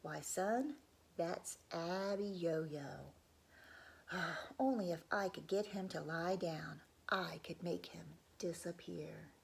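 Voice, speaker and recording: A calm, wistful storybook father, played by a woman, speaks slowly in a hushed, sighing way. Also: on the low side, gravelly, breathy edge, exaggerated voice.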